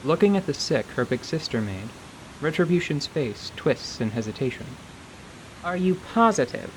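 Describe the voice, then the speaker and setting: A man narrating scripted fantasy in a measured storytelling manner. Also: mid-range voice, expressive, slight background noise, rough recording.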